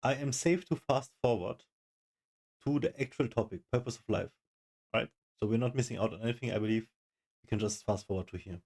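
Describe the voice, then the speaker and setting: Gravelly man commenting offhand. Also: medium pitch, fairly quick, darkish tone. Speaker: reaction streamer. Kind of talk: casual commentary.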